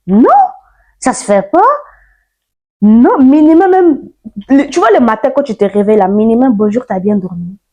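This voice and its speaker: A woman's voice: fairly high pitch, animated, moderate pace, long pauses, dark tone, muffled recording. A nineteen-year-old woman from Ivory Coast, chatting playfully and sassily to camera in a casual vlog. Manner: chatty, playful, sassy, mixes slang. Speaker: YouTuber.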